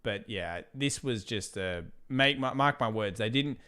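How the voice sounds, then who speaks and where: A man's voice: mid-range voice, lively intonation, measured pace, bright, forceful tone, clean tone. A man with an Australian accent hosting a live market analysis stream, talking casually, reading out viewer questions, and wearing out his voice after hours of talking. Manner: casual, rambling, reads viewer questions aloud. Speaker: trading channel host.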